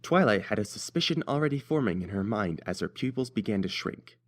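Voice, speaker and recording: A man's voice: lowish voice, animated, brisk. A man reading scripted story narration as the narrator.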